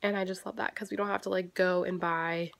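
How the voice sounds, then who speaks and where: A woman's voice: lowish voice, flat delivery, brisk, gravelly, a bit bright. A young woman chatting casually into her phone camera in a daily vlog, rambling with many fillers and self-corrections. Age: young adult. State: congested, stuffy. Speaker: vlogger. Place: parked car, indoors at home earlier. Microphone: phone camera at arm's length.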